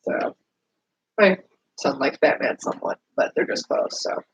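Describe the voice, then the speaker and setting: A streamer who is starting a cold and sounds sniffly, chatting casually while wrapping gifts at home and rambling apologetically to the viewers and to themself. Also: measured pace. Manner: rambling, apologetic, talks to self. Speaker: streamer and writer. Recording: noisy home recording.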